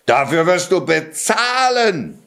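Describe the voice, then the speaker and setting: A man's voice: high-pitched, sing-song, slow, pitched above the performer's own voice, heavily acted. A man doing a raging giant ogre. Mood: furious. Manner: raging, shouting. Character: huge fearsome male ogre, furious.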